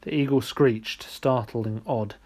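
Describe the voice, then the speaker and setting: A man reads a storybook aloud. His voice sits at a middle male pitch. The narration is scripted and expressive. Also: takes it slowly. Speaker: storybook reader.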